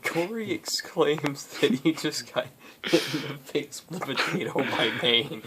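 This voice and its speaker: A man hosting casual improvised storytelling, rambling and halting as he makes it up. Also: a little high, expressive, background noise. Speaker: storyteller, host.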